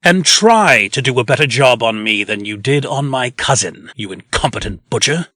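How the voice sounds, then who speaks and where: An actor voicing a scornful, defiant condemned pig in a comic dub. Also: male voice, medium pitch, expressive, moderate pace, sharp tone, dry recording, clean studio sound.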